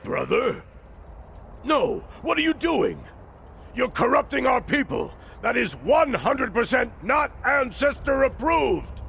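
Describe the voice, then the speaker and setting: An actor playing a proud, earnest orc prince in a fantasy video game, with a declamatory manner. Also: male voice, extremely high pitch, big pitch swings, slow, creaky, a bit bright, a little hiss or hum, full character voice. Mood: alarmed, indignant.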